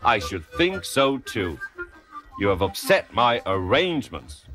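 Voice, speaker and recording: A stern, pompous railway boss, as a man reads him in a storybook, measured and disapproving. The voice is low and slow, with music underneath. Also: very animated, a bit bright.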